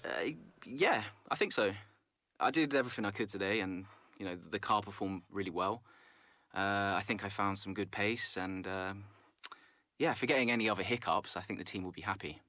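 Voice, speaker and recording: Guarded racing driver for a game cutscene: low, bright, dry, measured, diplomatic. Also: male voice, no echo. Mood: cautiously positive. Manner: measured, hesitant, media-trained. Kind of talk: naturalistic game cutscene acting.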